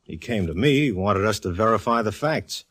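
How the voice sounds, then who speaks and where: An actor playing a dry, easygoing male police inspector in a 1940s radio drama, bantering in a deadpan way. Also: fairly low pitch, moderate pace, dry recording.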